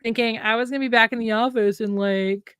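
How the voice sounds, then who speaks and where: A woman's voice: a little high, steady tone, a little fast, fairly bright tone, smooth, no room sound, clean studio sound. A woman in her late thirties chatting casually as a live stream co-host, reflective and rambling as she rephrases herself. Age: late 30s. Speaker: writer co-hosting a live stream. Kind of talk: casual chat.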